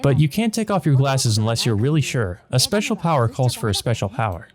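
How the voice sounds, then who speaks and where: A man's voice: medium pitch, big pitch swings, a little fast, faint music behind, close to an everyday voice. A man voicing a kind, wise female teacher from a visual novel, earnest, instructive and encouraging. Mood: gentle, earnest.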